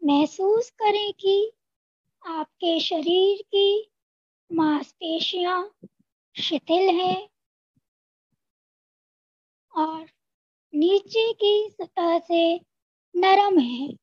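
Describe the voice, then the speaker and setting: A woman with an Indian accent narrating a scripted guided meditation, giving gentle instructions in short phrases with long pauses. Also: very high-pitched, no room sound, dull audio.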